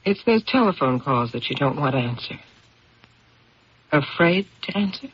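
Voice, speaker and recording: A devoted middle-aged wife in a 1970s radio play. Her voice is strikingly husky and rises and falls expressively as she tenderly probes. The recording is old and muffled. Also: low voice, sing-song, normal speed, heavily acted. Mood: tender coaxing. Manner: tender, solicitous, coaxing. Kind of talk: 1970s radio drama acting.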